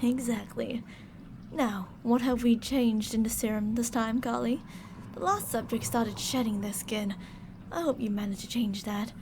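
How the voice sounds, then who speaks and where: A woman's voice: a little high, a little slow, noisy background. A woman playing a controlling mad scientist in an ASMR audio drama, sugary and polite but ready to turn stern and commanding. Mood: businesslike, slightly worried. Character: controlling female mad scientist, sweet-talking, fretful captor.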